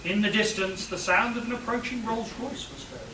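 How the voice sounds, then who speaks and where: A man's voice: high-pitched, brisk. Male narrator, comic radio drama script, distant mic in a roomy space. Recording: noisy echoey room, distant mic.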